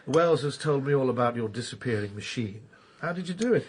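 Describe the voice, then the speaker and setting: An actor playing a wry, teasing, sceptical Victorian dinner guest in a radio drama. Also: male voice, mid-range voice, expressive, brisk, a little hiss or hum, natural voice.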